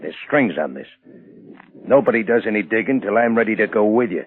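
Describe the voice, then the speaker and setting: An actor playing a tough, suspicious convict with gruff, slangy hoodlum talk in a 1940s radio crime drama. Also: male voice, fairly low pitch, animated, brisk, natural voice. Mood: wary. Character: tough, suspicious gangster convict back from the dead. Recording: muffled, band-limited 1940s radio recording.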